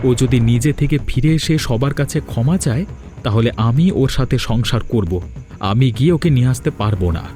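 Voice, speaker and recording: A man's voice: medium pitch, fairly quick, mellow, soft background music, natural voice. A man playing a stubborn young husband and son in a cartoon drama, earnest and argumentative. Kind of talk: dubbed cartoon dialogue.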